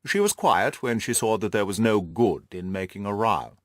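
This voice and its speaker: A man's voice: fairly low pitch, expressive, normal speed, no echo, studio quality, natural voice. Man playing a pompous, haughty aristocratic lord. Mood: self-justifying. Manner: pompous, formal, long-winded. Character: haughty aristocratic lord, stuffy, self-satisfied client. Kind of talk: audiobook character dialogue.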